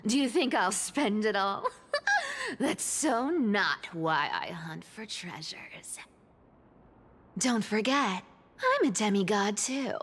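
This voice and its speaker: Playful treasure-hunting demigod girl, lively, echoing. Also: medium pitch, slightly rough, heavily acted. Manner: teasing, theatrical, laughs between phrases. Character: playful, mischievous young female demigod treasure hunter, defiantly carefree. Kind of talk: video game character acting. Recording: long hall-like reverb.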